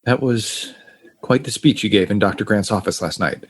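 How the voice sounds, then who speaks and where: A man's voice: on the low side, normal speed, close to an everyday voice. A man playing an affectionate, apologetic, teasing boyfriend in a comedic audio drama. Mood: sheepish, tender.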